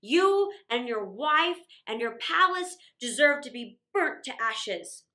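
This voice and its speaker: A woman voicing a furious male genie who scolds and warns in a booming voice. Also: lively intonation, measured pace, bright, forceful tone.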